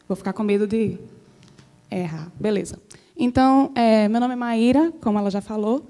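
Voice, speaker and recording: A woman with a Northeastern Brazilian accent giving a friendly, chatty conference talk as a software engineer, often checking in with her audience. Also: fairly high pitch, normal speed. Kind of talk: conference presentation. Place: conference stage before an audience. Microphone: handheld or stage mic.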